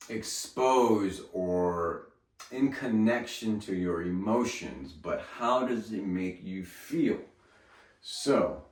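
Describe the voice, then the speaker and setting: Slow, low man in a roomy space. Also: a little mellow. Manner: earnest, repeats phrases for emphasis. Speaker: speaking coach. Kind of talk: motivational talk. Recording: roomy, from a distance.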